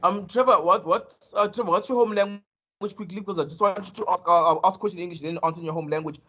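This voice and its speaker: A man's voice: sits high, quick pace, fairly bright tone. A man working as a journalist, asking questions at a press conference with a hesitant, filler-heavy delivery.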